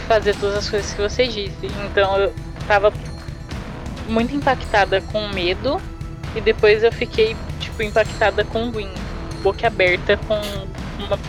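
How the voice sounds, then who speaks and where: Even-toned Brazilian woman over background music. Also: mid-range voice. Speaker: player in a tabletop role-playing game. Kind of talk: casual in-character description of her actions.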